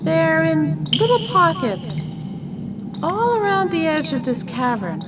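A haughty little talking cat, played by a woman, with a high voice and slow, dramatic phrasing. It is a radio play creature, heard over loud background noise. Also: very animated, full character voice. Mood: horrified disdain. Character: female talking kitten, haughty and sharp-eyed.

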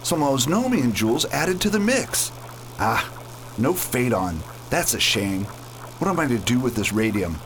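A man's voice: medium pitch, big pitch swings, a little slow, a bit bright, a little hiss or hum. A man acting a brooding, greedy villain in a space radio play, with a disdainful manner. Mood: calculating. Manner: disdainful, muttering to himself. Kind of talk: amateur radio theater acting.

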